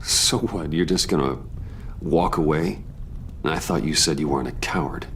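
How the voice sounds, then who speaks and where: Brooding low-voiced vigilante. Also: male voice, moderate pace, fairly bright tone, a little hiss or hum. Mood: defensive, bitter. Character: brooding, stubborn adult male vigilante, guarded, intense. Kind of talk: dramatic video game acting.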